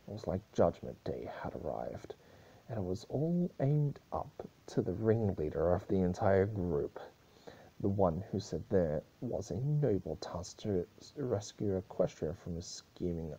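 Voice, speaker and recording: Low, dark, gravelly man reading dramatically. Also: dull audio. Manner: stumbling, halting delivery with misreadings corrected mid-sentence. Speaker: amateur story reader. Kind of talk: dramatic reading of grim fan fiction.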